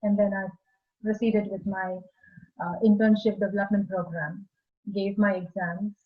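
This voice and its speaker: A woman with an Indian accent speaks in a measured, explanatory way, pausing now and then as she finds her words. Her voice sits at a middling pitch for a woman. It comes through a slightly dull, muffled video-call line. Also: flat delivery. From India (Maharashtra). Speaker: guest architect. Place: small room indoors. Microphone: earphone mic on video call.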